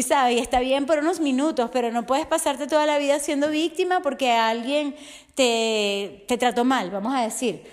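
A slightly hoarse woman speaking as a coach in an earnest, heartfelt motivational podcast monologue, often checking in with the listener. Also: high voice. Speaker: resilience and fitness coach.

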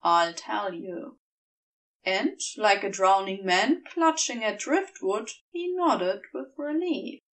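A woman reads fiction aloud, set slightly back from the microphone. Her high voice moves with lively, expressive swings of pitch, while the delivery stays slow and measured, like careful audiobook storytelling. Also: far from the mic, poor audio. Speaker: fan fiction audiobook reader. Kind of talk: scripted story narration.

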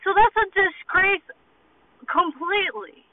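Man, high voice, animated ranting, casual chat, car, thin phone-line sound. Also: a little slow. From Boston, United States. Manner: animated, ranting, swears freely. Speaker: podcast host. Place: parked car. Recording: tinny phone-like audio in a car.

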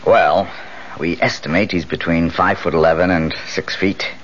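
An actor playing an eager, talkative crime reporter in a 1970s radio drama. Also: male voice, deep voice, fairly even intonation, normal speed, natural voice. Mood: keen, confident. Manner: talkative, hesitant, emphatic. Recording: dull, noisy 1970s radio recording.

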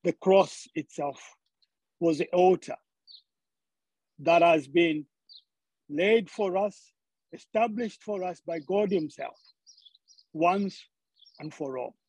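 A man's voice: high voice, slow pace, long pauses, darkish tone, husky, dry recording, low-quality recording. A man from Zambia preaching a sermon, often repeating words as he searches for the next phrase.

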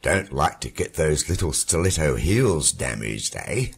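A sardonic dead husband taunts his widow, as a man voices him. His very deep voice swings widely in pitch and grinds with gravel. A breathy, husky edge hangs under the warm, controlled delivery. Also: big pitch swings, measured pace, heavily acted. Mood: mocking. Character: mocking dead husband, middle-aged man, cynical.

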